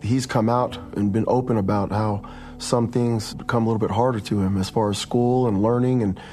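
A man's voice: lowish voice, fairly even intonation, fairly quick, mellow, soft background music. A man speaking as a coach in a documentary interview, describing someone in a conversational, reflective way.

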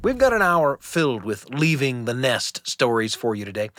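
A middle-aged man hosting a radio storytelling show, reading a script in an expressive, conversational way. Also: medium pitch, normal speed, no room sound, studio quality. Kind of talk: scripted narration. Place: dry studio.

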